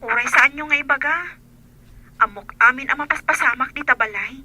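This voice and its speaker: A young adult daughter voiced by a woman with an Ilocano accent. Her voice is high and very sharp-edged. She sounds affectionate, plaintive and earnest, acting in a radio drama. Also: brisk, heavily acted. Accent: Filipino, Ilocano. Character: sad, affectionate young adult daughter living away from home.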